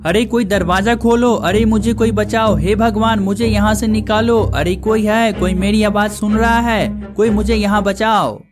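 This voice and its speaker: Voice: mid-range voice, steady tone, measured pace, hardly any pauses, over music, close to an everyday voice. An actor voicing a greedy, lazy young villager hunting treasure in a cartoon story, full of exclamations. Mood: panicked. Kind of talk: cartoon moral story dialogue.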